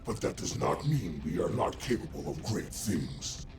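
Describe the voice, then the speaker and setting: A man playing a thoughtful, earnest, noble machine warrior in a video game, speaking deliberately. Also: sits low, lively intonation, loud music behind the voice. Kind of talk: scripted video game acting.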